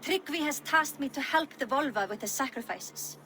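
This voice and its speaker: A caring Viking woman speaks gently and with concern in a slightly higher voice. Faint ambient noise lies underneath. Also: animated, normal speed. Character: caring female Viking clan ally.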